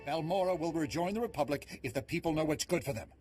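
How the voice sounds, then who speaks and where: An actor playing a brisk, imperious Republic official in a video game, with a husky, authoritative voice. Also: male voice, fairly high pitch, darkish tone, soft background music. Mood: firm.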